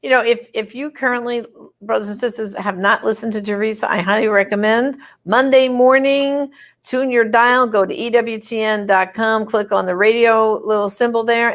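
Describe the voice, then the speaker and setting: Chatty Brooklyn-accented woman host. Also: mid-range voice. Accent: New York, Brooklyn. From New York. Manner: enthusiastic, chatty, exclaiming. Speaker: show host. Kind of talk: casual live interview chat.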